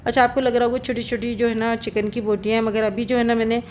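Middle-aged woman cooking at home, chatty, lots of fillers, voice a bit high. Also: level tone, quick pace, a little mellow, a little hiss or hum. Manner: chatty, explains each step, many fillers. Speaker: cooking vlogger. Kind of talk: casual cooking narration. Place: home kitchen, then rooftop.

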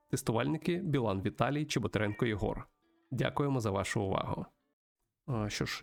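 A man with a creaky voice, hosting a let's-play, reading a visual novel aloud and adding his own commentary. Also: on the low side. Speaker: host of a video game let's-play show.

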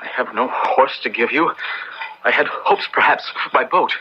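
An actor playing an anxious, compassionate parson in a radio drama, speaking earnestly and urgently in formal, old-fashioned English. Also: male voice, medium pitch, moderate pace, close to an everyday voice. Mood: anxious, tender. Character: large, anxious, compassionate male parson helping a fugitive. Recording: muffled, very noisy old radio recording.

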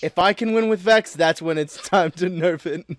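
A man hosting a casual multiplayer gaming session, yelling and laughing at the game with friends over voice chat. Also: sits high, moderate pace. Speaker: host, gamer.

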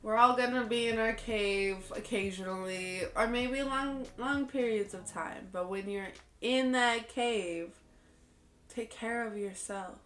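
A woman's voice: fairly high pitch, reverberant. A woman in her late twenties, slightly congested from a cold, talking candidly to camera in her bedroom, earnest and laughing often. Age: late 20s. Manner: earnest, expressive, laughs often, slow unhurried talk. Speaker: vlogger. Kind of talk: candid personal chat to camera. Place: bedroom with hanging lights, indoors.

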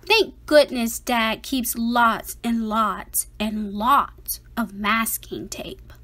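A woman voicing an anxious, dramatic five-year-old boy in an expressive picture-book read-aloud, with emphatic, theatrical delivery. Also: fairly high pitch, very slow, exaggerated voice. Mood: scared, fretful.

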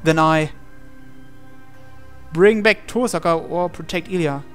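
A man's voice: fairly high pitch, slow pace, fairly bright tone, clear, resonant tone, soft background music. A man reading a visual novel aloud as a game player, in dramatic storytelling narration.

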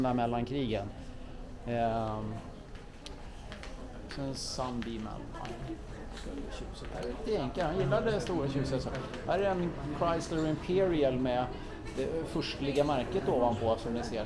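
A man walking through a car museum with a camera, giving enthusiastic casual commentary and often correcting himself as he goes. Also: medium pitch. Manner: chatty, enthusiastic, muses aloud and corrects himself. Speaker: car vlogger touring a museum. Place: indoor car museum hall. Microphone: handheld camera mic, walking. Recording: visitor crowd in background. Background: crowd murmur of museum visitors.

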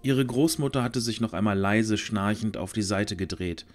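A man narrating a scripted fantasy audio drama in a measured storytelling manner. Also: on the low side, faint music behind.

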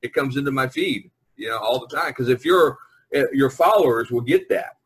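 Husky-voiced man chatting casually. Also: mid-range voice, animated, brisk. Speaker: podcast host. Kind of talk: casual group video-call chat. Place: online video conference.

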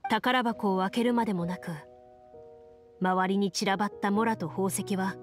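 A woman's voice: mid-range voice, fairly even intonation, faint music behind, lightly acted. Woman playing a composed, solemn game master. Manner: measured, solemn storytelling. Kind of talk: scripted narration.

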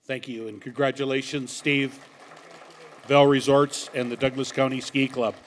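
A man emceeing an awards event, telling a joke story at a podium mic in a banquet hall, with animated, shouted quoted lines. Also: mid-range voice, moderate pace, a little hiss or hum.

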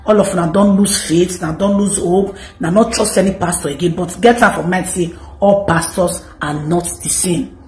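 A Nigerian woman in her thirties hosting a gossip commentary, talking to camera in an animated, scolding way. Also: medium pitch, roomy sound. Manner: animated, scolding, mixes pidgin and English.

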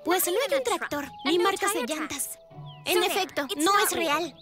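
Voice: very high voice, a little slow. A voice actor playing a skeptical, sensible young girl in a cartoon dub, doubting and matter-of-fact. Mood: dismissive. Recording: music under the voice.

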